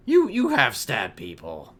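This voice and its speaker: Man playing a cagey male criminal, forceful bright voice, defensive, repetitive, improvised game roleplay. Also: mid-range voice, huge pitch swings, unhurried. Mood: accusing. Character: cagey, sarcastic foul-mouthed male criminal.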